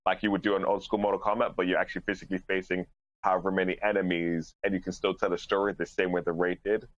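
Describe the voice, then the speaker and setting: A man's voice: deep voice, little pitch movement, fast talker. A man speaking casually as a panelist in a podcast group discussion, on a lo-fi recording.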